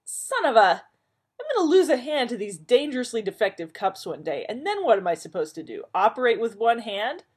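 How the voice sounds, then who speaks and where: A woman's voice: mid-range voice, very animated, normal speed, a little mellow, husky, pitched above the performer's own voice. A woman voicing a neurotic, irritable male doctor who complains in an exasperated, whiny way.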